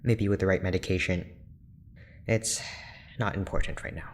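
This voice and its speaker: Reserved, low-voiced research doctor. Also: male voice, a little hiss or hum. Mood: clinical. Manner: formal, measured, clipped, deadpan. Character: reserved, clinical male research doctor, dryly blunt. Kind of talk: naturalistic audio drama acting.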